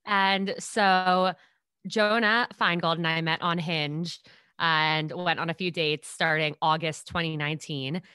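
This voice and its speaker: A woman's voice: low voice, steady tone, a little slow, bright, forceful tone, clear, resonant tone, dry recording. A woman in her twenties from New York, a podcast guest telling stories in casual chat and acting out what people said. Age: 20s. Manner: bubbly storyteller, acts out quotes.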